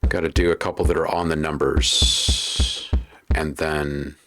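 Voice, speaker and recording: A man's voice: extremely low pitch, flat delivery, unhurried, a bit bright, faint music behind. A man hosting a casual livestream while making beats, chatting with viewers and thinking aloud as he works. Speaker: livestream host and music producer.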